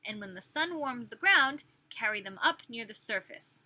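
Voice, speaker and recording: A woman with a strikingly bright, somewhat high voice reads aloud like a preschool teacher. She is expressive and speaks directly to small children, mixing the story with questions and chatty asides. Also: moderate pace, rough recording. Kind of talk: children's picture-book read-aloud with questions to young listeners.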